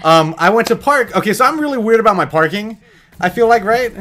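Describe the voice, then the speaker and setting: A man's voice: sits high, expressive, fairly quick, fairly bright tone. An American man telling a casual story in a rambling, teasing, joking way, like a vlogger.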